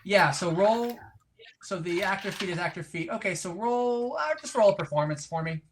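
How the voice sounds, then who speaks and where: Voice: mid-range voice, animated, normal speed, slight background noise. Conversational game master improvising narration. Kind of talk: improvised game narration and casual rules talk.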